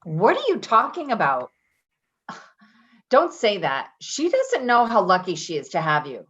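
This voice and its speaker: An actress plays a tough ex-convict woman in a stage drama. She is blunt and quick to bristle, her pitch moving widely, on a slightly dull recording. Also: huge pitch swings, a bit bright, husky, heavily acted. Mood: reassuring. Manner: blunt, combative, defensive. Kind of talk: dramatic play reading, naturalistic dialogue.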